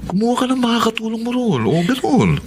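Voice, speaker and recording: An actor playing an irritable middle-aged Filipino husband in a radio drama, curt and dismissive. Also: male voice, very high-pitched, very animated, fast talker, slight background noise. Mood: irritated.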